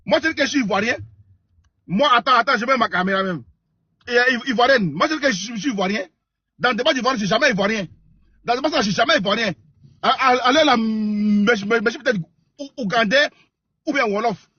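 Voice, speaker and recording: A man's voice: extremely high pitch, fairly quick, sharp tone, husky, no room sound. A man with a West African accent hosting a heated live debate, cutting in and insistently repeating his phrases. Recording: dry, dull lo-fi stream audio.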